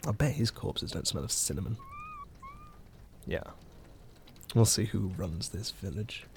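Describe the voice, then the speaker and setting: Gravelly, bitter undertaker. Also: male voice, lowish voice, lively intonation, measured pace, darkish tone, slight background noise. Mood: seething. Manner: indignant, muttering, clipped. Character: bitter, scornful undertaker ranting to his pet mouse. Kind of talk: audio sitcom acting.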